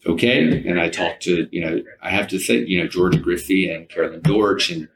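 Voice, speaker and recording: A man's voice: sits low, steady tone, brisk, rough recording. A middle-aged man, telling his own story conversationally and modestly as an interview guest. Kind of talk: casual personal storytelling in an interview.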